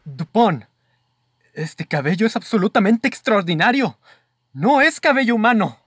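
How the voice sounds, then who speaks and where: A man's voice: sits high, very animated, normal speed, fairly bright tone, slightly rough, dry recording, studio quality, heavily acted. A man with a Mexican accent playing an earnest, easily astonished investigator in a radio drama, with formal, emphatic delivery. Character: earnest male investigator's companion, astonished, reasoning aloud. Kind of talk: radio drama acting, formal literary dialogue.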